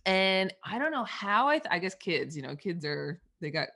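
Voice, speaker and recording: A woman money mindset coach, a podcast guest, telling stories in a lively, chatty way. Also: lowish voice, normal speed, creaky. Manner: lively storyteller, laughs often, lots of likes. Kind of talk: casual interview chat.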